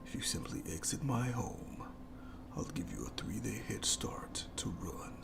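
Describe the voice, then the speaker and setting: A menacing monster, played by a man, whispers slowly in horror ASMR style, with a creaky edge to the voice. It taunts with mock courtesy, playful and sadistic, drawing out its words. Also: lowish voice, big pitch swings, heavily acted. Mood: mock-courteous, tempting.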